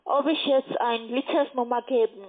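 A woman's voice: fairly high pitch, fairly even intonation, normal speed. A woman call centre agent, not a native German speaker, asking sales questions on the phone. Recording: tinny phone line.

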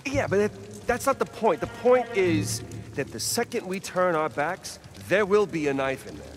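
Loud music sits behind a wisecracking male game adventurer. His pitch is animated. He talks casually, with a scrappy, sarcastic edge. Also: normal speed. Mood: irked. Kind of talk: video game cutscene acting.

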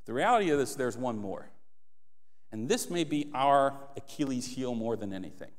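A man in his thirties preaching a passionate sermon on a church stage, speaking into a handheld mic and rising to emphatic appeals. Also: mid-range voice. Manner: passionate, builds to emphatic exhortation. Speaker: pastor. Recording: long hall reverb.

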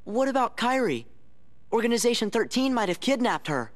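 A man's voice: extremely high pitch, sing-song, normal speed. A young man voicing a spirited, impatient teenage boy hero in a video game cutscene. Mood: worried.

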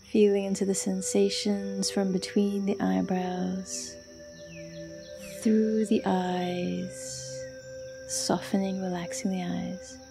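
Female meditation guide: very unhurried delivery, soft and breathy with gravelly fry, faint music underneath. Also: mid-range voice, level tone. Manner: soft, soothing, breathy, with long pauses between short phrases. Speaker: meditation and yoga instructor. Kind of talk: guided yoga nidra meditation.

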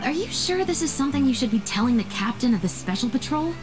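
Dry, lively-pitched young captain. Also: female voice, quick pace, over music. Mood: skeptical. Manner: dry, wry, formal. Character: young woman patrol captain, stern, dry and dutiful. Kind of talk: anime video game dialogue.

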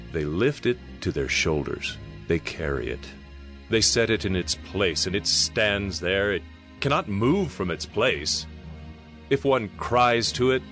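A man playing a solemn narrator of prophetic scripture, speaking deliberately and dramatically. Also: fairly low pitch, fairly bright tone, heavily acted.

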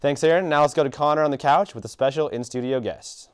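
A man's voice: fairly high pitch, fast talker, smooth. A young man anchoring a student sports news show from a studio desk. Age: young adult. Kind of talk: read news presentation.

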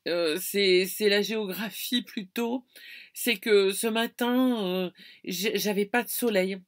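A woman's voice: mid-range voice, takes it slowly. A middle-aged woman chatting casually to her viewers from inside a camper van, hesitant and full of fillers, filmed close up. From France. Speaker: vlogger. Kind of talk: casual travel vlog. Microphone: phone or camera close to face.

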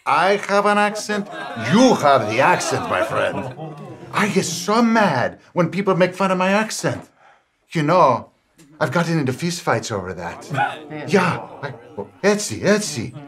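Boisterous older Greek immigrant, thick-skinned joker with a short fuse, Greek accent, very lively pitch, comedic film. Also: male voice, moderate pace. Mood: indignant, teasing. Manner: boisterous, teasing, emphatic. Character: boisterous older Greek immigrant man, jokey and hot-tempered.

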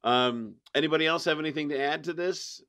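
A man's voice: on the high side, fairly quick, sharp tone. A man hosting a casual panel podcast in a chatty, jokey way with a touch of mock formality. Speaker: podcast host.

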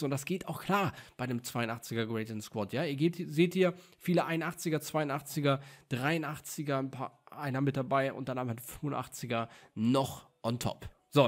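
A young man, slightly sick, chatting casually and upbeat as a gaming video host, with frequent fillers, recorded at his desk indoors. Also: mid-range voice. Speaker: gaming YouTuber. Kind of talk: casual gameplay commentary.

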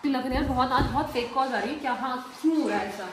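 A woman's voice: high voice, fast, over music. A woman in her twenties chatting casually to viewers as the host of a prank vlog. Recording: faint noise behind voice.

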